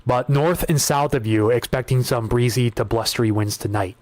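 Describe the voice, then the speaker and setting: Slightly hoarse man hosting live weather coverage. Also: medium pitch, moderate pace, darkish tone, no room sound. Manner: conversational, repeats words and restarts while reading data. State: voice getting hoarse. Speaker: weather livestream host. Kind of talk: live weather coverage, reading maps and viewer questions.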